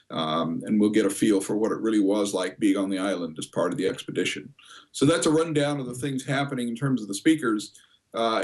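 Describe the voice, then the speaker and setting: Measured American man, mid-pitched, in his sixties. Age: 60s. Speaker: guest, convention co-chair. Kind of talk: informal informational interview answer over video call. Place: indoor home office. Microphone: computer video call.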